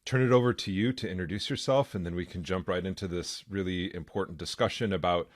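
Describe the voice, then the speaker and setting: A man's voice: low voice, fast, no room sound, clean studio sound. A man hosting a podcast interview, earnest and conversational. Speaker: podcast host.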